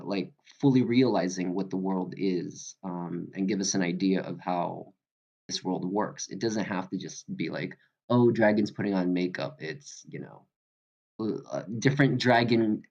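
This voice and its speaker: Low, gravelly man on dull audio. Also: a little mellow. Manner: hesitant, halting. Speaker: script workshop participant giving feedback. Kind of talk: casual spoken critique.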